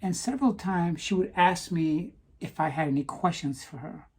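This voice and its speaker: A woman talking earnestly to camera in a personal vlog, stopping to ask "okay?" for emphasis, with some halting restarts. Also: lowish voice, lively intonation, a little mellow, breathy edge. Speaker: vlogger.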